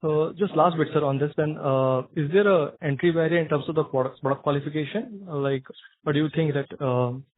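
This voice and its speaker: Guy analyst on a call, dry phone sound, polite and halting, asking stuff. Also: fairly high pitch, dry recording. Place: conference call over phone line. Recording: narrow dry phone-line audio.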